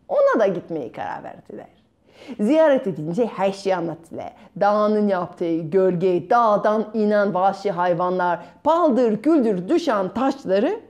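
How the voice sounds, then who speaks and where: A middle-aged woman telling a children's fairy tale expressively, with dramatic pauses. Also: mid-range voice, mellow. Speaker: children's storyteller. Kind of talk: performed fairy-tale storytelling. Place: bright room at home by a window. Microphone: small clip-on lapel mic.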